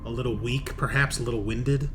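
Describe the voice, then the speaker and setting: A man's voice: medium pitch, steady tone, fairly quick. A man narrating a tabletop roleplaying game as game master, in a casual, sweary, explanatory way.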